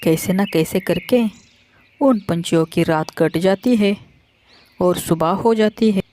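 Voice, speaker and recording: Slow-paced woman narrating a children's story. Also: fairly low pitch, dark tone.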